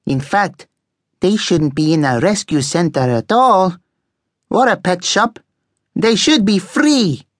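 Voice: medium pitch, animated, slow pace, a bit bright, no room sound, studio quality, pitched above the performer's own voice. A narrator voicing a cross, fiery male guinea pig revolutionary, speaking dramatically in a children's story. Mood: cross, preachy. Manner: declamatory, dramatic.

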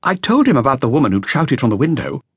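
A man's voice: medium pitch, wildly swooping pitch, fast, breathy edge, no echo, clean studio sound, pitched above the performer's own voice. A man voicing a nervous, earnest young male flautist telling what he witnessed. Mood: anxious. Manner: anxious, breathless, earnest.

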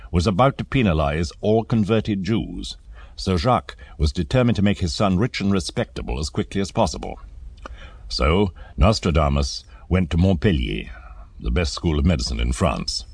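Deep, gravelly male narrator, theatrical. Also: no echo. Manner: theatrical, measured, rhetorical questioning. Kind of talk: scripted dramatised documentary narration.

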